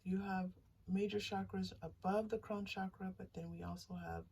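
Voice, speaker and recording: A woman hosting a casual tarot reading, speaking to listeners directly in a soothing, reassuring way and haltingly thinking aloud. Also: medium pitch, fairly even intonation, a little mellow. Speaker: tarot reader and channel host. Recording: distant mic, faint background noise.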